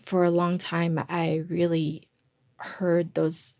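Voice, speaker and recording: Interview guest: middle-aged woman from Taiwan, low and gravelly, earnest with frequent fillers. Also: monotone, slow, warm tone. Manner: earnest, many fillers and restarts. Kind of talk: interview conversation.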